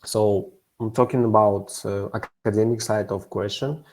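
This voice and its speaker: A man's voice: on the low side, fairly even intonation, normal speed, a little mellow. A young man from Kazakhstan speaking non-native English, giving an informal testimonial as a student ambassador. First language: not English. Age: young adult.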